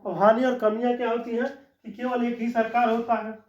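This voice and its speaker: A man's voice: very high voice, level tone, a little fast. A man teaching a law lesson in a classroom style, explaining step by step and repeating his key points. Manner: explanatory, repeats key points, frequent "ठीक" check-ins. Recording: echoey room, dull lo-fi sound.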